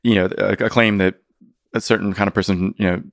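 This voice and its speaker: A man's voice: fairly low pitch, fairly even intonation, a little fast, gravelly, no echo, clean studio sound. A man appearing as a historian guest on a podcast, answering thoughtfully with hedges and frequent restarts. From United States. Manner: thoughtful, hedging, with many restarts. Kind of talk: podcast interview.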